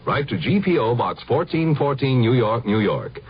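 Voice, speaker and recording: A man's voice: mid-range voice, very animated, moderate pace, slight background noise. An American man reading a scripted radio advertisement as an announcer. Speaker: commercial announcer. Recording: muffled vintage radio broadcast.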